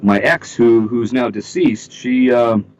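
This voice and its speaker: A man's voice: mid-range voice, takes it slowly, a little mellow, highs cut off. A middle-aged man from Canada in a casual interview, joking and full of fillers and restarts. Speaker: interview guest.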